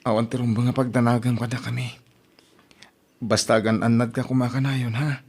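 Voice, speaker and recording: A man's voice: medium pitch, flat delivery, brisk, darkish tone, breathy edge. A man voicing a loving husband in a family audio drama, speaking in an emotional, pleading way. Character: gentle husband of a young wife, loving.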